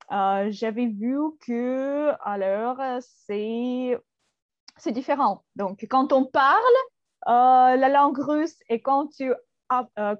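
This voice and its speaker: Russian-native woman talking french really slow, higher voice, dull dry sound. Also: no echo. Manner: slow, careful, hesitant with fillers. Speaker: Russian teacher, guest. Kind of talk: interview answers in a second language.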